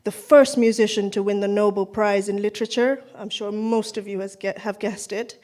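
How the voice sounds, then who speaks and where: A woman's voice: mid-range voice, fairly even intonation, normal speed, dark tone. A woman from Nepal, a singer chatting between songs at a cultural event, self-deprecating and apologetic, into a stage microphone. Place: event hall, indoors. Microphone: handheld stage microphone.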